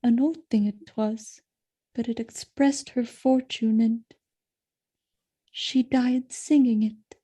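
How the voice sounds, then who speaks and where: A woman playing a loyal, innocent, distressed noble wife in a Shakespearean audio drama, speaking in an earnest, pleading, measured way. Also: fairly high pitch, fairly even intonation, dark tone, breathy edge. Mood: sad resignation.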